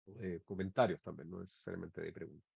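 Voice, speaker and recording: A man moderating an academic session informally, speaking hesitantly with pauses and restarted phrases. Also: low-pitched, fairly even intonation, creaky, slightly rough, no echo. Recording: dull lo-fi sound.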